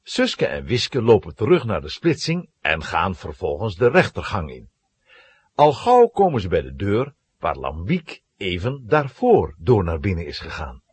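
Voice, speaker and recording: A male narrator with a very husky, breathy voice tells a children's adventure. His pitch swings dramatically from phrase to phrase, and the sound is close and dry. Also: wildly swooping pitch, unhurried, dry recording.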